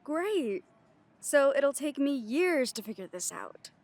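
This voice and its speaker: A woman's voice: high voice, big pitch swings, slow, a little mellow, heavily acted. A woman playing a cautious, frank werewolf second-in-command in an audio drama, with a questioning, dryly sardonic manner. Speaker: voice actor in audio roleplay. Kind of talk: scripted audio roleplay drama.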